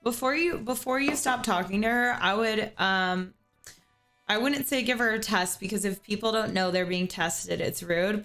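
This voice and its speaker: A woman's voice: mid-range voice, fairly bright tone. Young woman streamer, earnest advice, casual talk, faint music in the background. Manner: earnest, repeats words as she thinks. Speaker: streamer host giving advice. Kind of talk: casual advice chat on a livestream.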